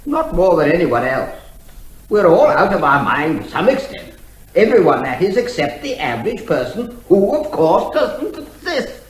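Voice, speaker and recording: Man playing high-pitched, pedantic courtroom witness. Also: sing-song, moderate pace. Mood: dryly argumentative. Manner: pedantic, argumentative, hesitating as he reasons. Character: pedantic, unflappable male witness quibbling with counsel. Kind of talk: courtroom radio comedy drama. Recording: roomy, echoing sound.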